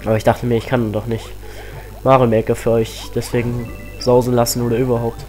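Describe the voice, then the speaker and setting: Chatty teenage gamer with a cold. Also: male voice, mid-range voice, brisk, soft background music. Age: teens. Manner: chatty, excitable, rambling asides. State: has a cold. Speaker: let's play host. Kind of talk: casual game commentary.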